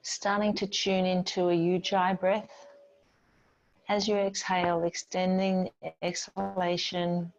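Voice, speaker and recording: A woman yoga teacher giving gentle, unhurried guided relaxation and movement instructions in a soothing manner. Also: fairly low pitch, little pitch movement, lo-fi sound.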